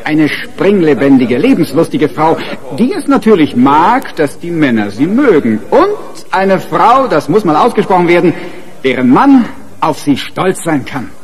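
Animated, charming party toastmaster. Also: male voice, on the high side, unhurried. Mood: jovial, declamatory. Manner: grandiose, teasingly emphatic speechmaking. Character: convivial, charming male party guest giving a toast. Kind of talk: radio drama party speech.

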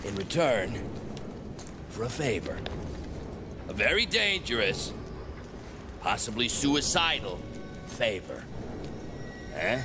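An actor playing a flamboyant, manic race boss in a video game, theatrical and wheedling, swinging from sly muttering to shrieks. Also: male voice, lowish voice, big pitch swings, slow, pauses often, heavily acted. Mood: sly, bargaining. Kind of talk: video game character acting. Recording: music playing behind the voice.

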